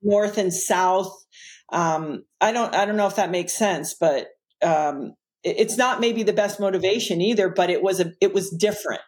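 Podcast co-host, mid pitch, reflective, halting delivery. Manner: thoughtful, hesitant, searching for words. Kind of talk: casual conversation.

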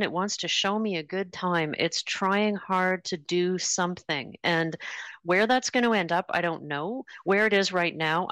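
A woman's voice: mid-range voice, a bit bright, no room sound. A woman in casual conversation, thinking aloud in a thoughtful way as a guest on a talk show.

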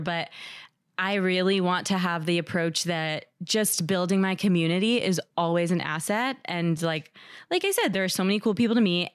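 A woman in her early thirties speaks as a podcast guest, thoughtful and open, slipping into easy laughs. Her voice sits a bit low, and the recording is dry and close-miked. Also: smooth, no echo. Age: 30s. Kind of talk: casual interview talk.

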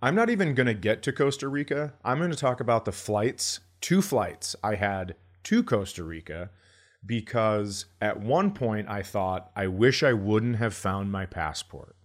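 A man hosting a comedy podcast, telling stories in an animated, grumbling, sweary way and acting out quotes. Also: on the low side, measured pace. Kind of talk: comic storytelling in casual podcast chat.

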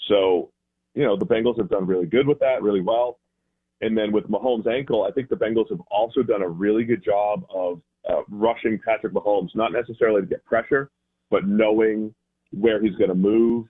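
A man's voice: lowish voice, fairly even intonation, brisk, husky. An American man giving casual, conversational football analysis as a radio guest, often rephrasing as he explains. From Boston area, United States. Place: remote call into a radio show. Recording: thin phone-like guest line.

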